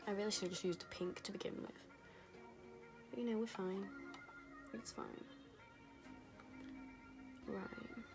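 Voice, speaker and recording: A young woman, rambling casually through a makeup tutorial, her voice going hoarse. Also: medium pitch, fairly even intonation, measured pace, pauses often. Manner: chatty, rambling, lots of fillers. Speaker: beauty vlogger. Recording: music playing behind.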